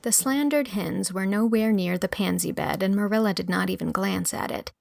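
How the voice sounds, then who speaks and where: A woman's voice: mid-range voice, moderate pace, vocal fry, a little mellow, slight background noise. A woman narrator reading scripted fiction as an audiobook.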